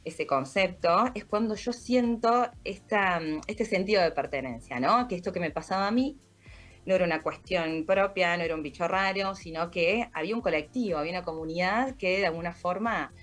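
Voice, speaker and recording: A woman from Argentina with a Rioplatense Spanish accent, speaking in a slightly low voice. She explains things thoughtfully and often checks in with a "¿no?". She sounds like she is on a video call from home. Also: a little fast, fairly bright tone. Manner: reflective, explanatory, frequent "¿no?" tags. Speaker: podcast creator, guest.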